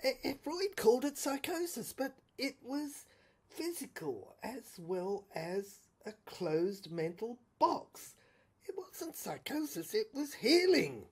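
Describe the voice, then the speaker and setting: Woman acting a troubled, halting woman with swooping pitch. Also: big pitch swings, husky, heavily acted. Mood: urgent, searching. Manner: halting, repetitive, searching, with sudden hushed drops. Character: troubled introspective woman obsessively recounting her inner mental breakdown. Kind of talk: dramatic first-person monologue for a serial audio play.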